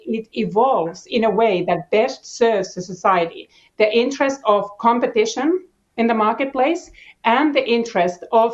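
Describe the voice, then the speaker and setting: A woman panelist speaking in a deliberate, formal way about policy. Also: a little high, lo-fi sound. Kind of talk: panel discussion.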